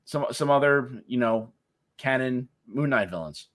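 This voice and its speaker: A middle-aged man co-hosting a casual live chat, talking in a halting way with many restarts. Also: mid-range voice, a bit bright. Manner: halting, many restarts and fillers, laughs easily. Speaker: co-host.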